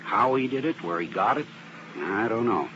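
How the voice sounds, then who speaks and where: Plain-spoken arson expert, noisy old radio. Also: male voice, on the low side, lively intonation, normal speed, a bit bright. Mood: assured explaining. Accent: American. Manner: explanatory, plain-spoken, assured. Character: earnest, methodical male arson specialist briefing an investigator. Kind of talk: 1950s radio drama acting. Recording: dull old radio recording with heavy noise.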